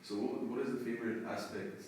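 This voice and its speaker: Young student host, hesitant and friendly, low flat voice, echoey room with audible noise. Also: male voice, brisk. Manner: friendly, hesitant questions with many ums. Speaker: student interviewer. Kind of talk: live stream interview. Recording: roomy echo, distant mic, noisy background.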